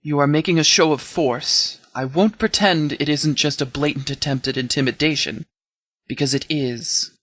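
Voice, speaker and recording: A man's voice: fairly high pitch, moderate pace, fairly bright tone, dry recording, clean studio sound. A man playing a stern, blunt, battle-weary military leader in a video game, with a firm, clipped, authoritative delivery. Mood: firm, commanding. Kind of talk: video game dialogue acting.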